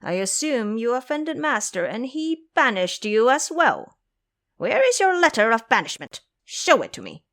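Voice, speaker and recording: A woman's voice: a little high, very animated, moderate pace, fairly bright tone. A woman voicing a proud, mocking male monkey king in an audio drama, commanding and teasing. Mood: interrogating.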